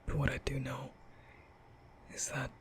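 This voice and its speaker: A man playing a nervous, gentle young vampire in an intimate ASMR roleplay, speaking hesitantly in a breathy half-whisper. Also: mid-range voice, completely flat delivery, a little hiss or hum, natural voice. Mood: earnest, flustered. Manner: hesitant, stammering, slow.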